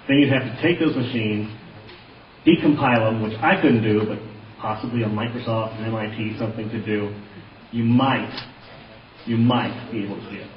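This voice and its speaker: A man giving blunt, plain-spoken answers as a witness testifying about software at a public hearing. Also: on the low side, steady tone, normal speed. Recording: roomy, distant, dull lo-fi hearing audio, faint noise.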